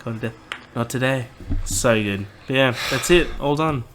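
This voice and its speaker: A man's voice: medium pitch, moderate pace, noisy background. A man co-hosting a podcast, chatting casually in a laid-back way with short remarks. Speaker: podcast co-host. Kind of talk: casual chat.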